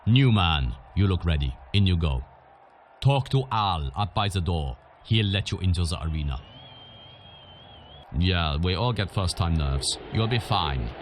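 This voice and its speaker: An actor playing a gruff, hardened arena boss in a video game, bossy and blunt. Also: male voice, deep voice, expressive, a little slow, a little hiss or hum. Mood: brisk, scornful. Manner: commanding, blunt. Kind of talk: video game character acting.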